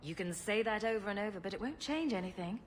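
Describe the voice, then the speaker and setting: Woman as a wry, mid-pitched young rogue. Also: lively intonation, fast, a little hiss or hum, close to an everyday voice. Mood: terse. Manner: dry, sardonic. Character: wry young female rogue, sarcastic yet loyal. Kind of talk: dramatic video game acting.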